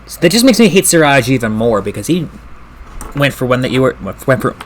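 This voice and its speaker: A man's voice: mid-range voice, big pitch swings, fairly quick. A man giving casual, blunt live gameplay commentary with plenty of swearing, over slight noise. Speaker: video game streamer. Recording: faint noise behind the voice.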